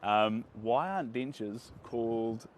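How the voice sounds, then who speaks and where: A man's voice: mid-range voice, slow. Young guy talking thru painting steps on a windy beach, phone audio, bit strained voice, lots of outdoor noise. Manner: enthusiastic, repetitive, chatty. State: voice strained by wind. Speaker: painter teaching on a live stream. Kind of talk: casual live-stream painting lesson. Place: sandy beach outdoors on a sunny day. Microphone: phone held at arm's length.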